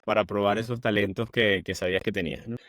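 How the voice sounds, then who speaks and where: Lower-voiced man hosting a podcast. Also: fairly even intonation, quick pace, a bit bright, dry recording, clean studio sound. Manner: brief affirmations, guiding questions. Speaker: podcast host. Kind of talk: interview host.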